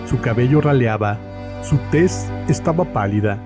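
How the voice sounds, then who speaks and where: A man's voice: mid-range voice, lively intonation, normal speed. A man narrating a scripted horror story as the narrator of an audio drama. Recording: music under the voice.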